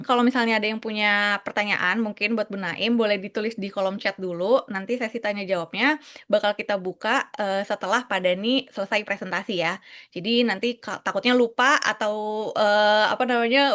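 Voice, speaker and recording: A woman's voice: mid-range voice, a bit bright. A woman moderating an online webinar in a friendly, informal way. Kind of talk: hosting a webinar. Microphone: video call.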